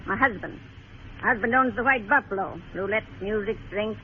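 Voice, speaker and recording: A woman's voice: medium pitch, moderate pace, lightly acted. An actress playing a brusque, weary frontier woman of about fifty, plain-spoken and folksy, in a radio western. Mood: defensive. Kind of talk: 1950s radio western acting. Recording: tinny noisy old radio recording.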